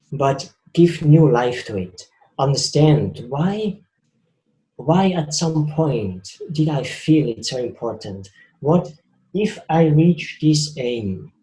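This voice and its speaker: A man's voice: mid-range voice, warm tone, reverberant, rough recording. A man with a Swiss accent giving a reflective spiritual talk, earnest and repeating phrases for emphasis. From Switzerland. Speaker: spiritual speaker.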